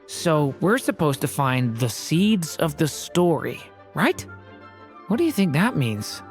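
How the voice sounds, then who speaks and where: Young dragon boy, polite, earnest, gentle, apologetic, video game character. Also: mid-range voice, very animated, slow, soft background music. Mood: unsure. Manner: apologetic, soft-mannered, earnest.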